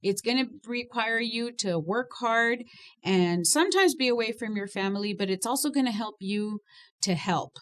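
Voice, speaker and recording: Upbeat higher-voiced woman hosting. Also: normal speed. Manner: laughs often, teasing and upbeat. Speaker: podcast host. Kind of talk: casual interview chat.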